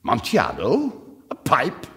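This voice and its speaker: A man's voice: mid-range voice, wildly swooping pitch, moderate pace, a bit bright, voice pushed up. A man voicing a drunken, boastful, eager wine connoisseur in a dramatic story reading, exclamatory and insistent. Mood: incredulous. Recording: long hall-like reverb.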